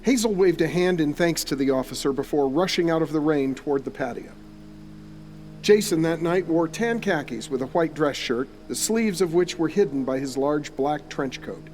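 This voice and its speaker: A man's voice: on the high side, animated, normal speed, a little mellow, slight background noise. A man reading scripted, descriptive story narration for an audio drama, like a storyteller. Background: music.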